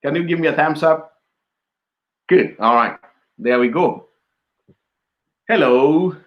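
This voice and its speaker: A man's voice: a little high, takes it slowly, lo-fi sound. A man hosting a casual interview over a video call, chatty and laughing often with many fillers. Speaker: host. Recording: echoey room.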